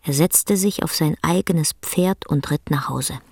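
A woman narrating a radio drama in a measured, hushed literary storytelling manner. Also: low-pitched, slight background noise. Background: plucked guitar music.

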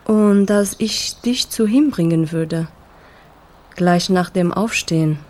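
An actress playing a calm, teasing jazz singer in a naturalistic radio drama. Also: female voice, fairly low pitch, slow. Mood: calm, matter-of-fact.

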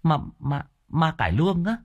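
A man's voice: a little high, sing-song, normal speed, darkish tone, highs cut off, voice pushed up. A man voicing a fearful, bumbling old village man who stammers. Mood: frightened.

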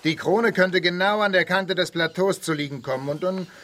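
An actor playing a daring, decisive English lord and adventurer in a German radio drama. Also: male voice, high-pitched, moderate pace, a bit bright. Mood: confident. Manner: bold, decisive.

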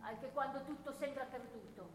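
A woman's voice: sits high, moderate pace, natural voice. A woman playing an anguished, sleepless and disillusioned soldier at war, declaiming solemnly in a theatrical radio play monologue. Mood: anguished, weary. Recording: echoey hall with clear background noise.

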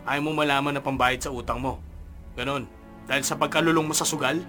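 An actor playing a worried, nagging young Filipino friend in a radio drama, slangy and reproachful. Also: male voice, mid-range voice, fairly even intonation, brisk, bright, forceful tone, soft background music, lightly acted. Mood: alarmed.

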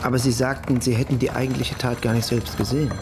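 Actor as a fast-talking police detective. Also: male voice, mid-range voice, flat delivery, background noise, close to an everyday voice. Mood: official, probing. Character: matter-of-fact male police detective questioning an informant. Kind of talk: radio crime drama acting.

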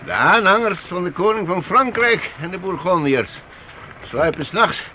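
A man's voice: high voice, sing-song, moderate pace, sharp tone, slight background noise, full character voice. A man acting in a Dutch radio play as a streetwise medieval vagabond, wry and matter-of-fact. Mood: wry, explaining.

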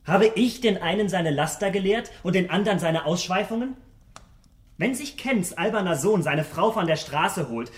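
Guy acting a snooty young aristocrat in a radio play, kinda high voice, mocking and vain, very polished. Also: natural voice. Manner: haughty, mocking, polished formal speech. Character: vain, scornful young English gentleman with dark secrets.

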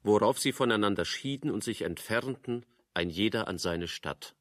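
Very low, dry male narrator. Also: expressive, normal speed, dry recording. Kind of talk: scripted literary narration in a radio play.

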